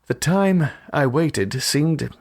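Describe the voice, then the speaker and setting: A man narrating a gothic novel in the first person, in an expressive, measured, theatrical way. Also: on the high side, vocal fry. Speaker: audiobook narrator.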